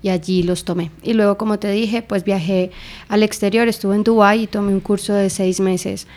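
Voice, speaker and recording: Young Colombian woman as interview guest, measured and reflective, mid-pitched, pauses with "eh" and "digamos". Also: fairly even intonation, darkish tone. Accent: Colombian Spanish. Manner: thoughtful, with many fillers like "eh" and "digamos". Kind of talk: interview answers.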